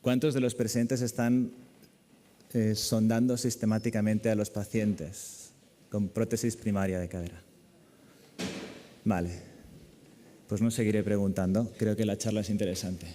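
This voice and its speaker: Male surgeon from Spain, conference speaker, lowish relaxed voice, lecture-hall echo. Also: measured pace, pauses often. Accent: Spanish from Spain. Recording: echoey lecture hall, long reverb.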